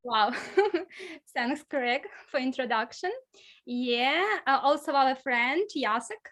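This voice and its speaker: A Ukrainian-accented woman hosts an online meeting in a very high voice. She speaks slowly and laughs often between introductions. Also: a bit bright. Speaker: club president hosting an online meeting. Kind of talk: casual introductions.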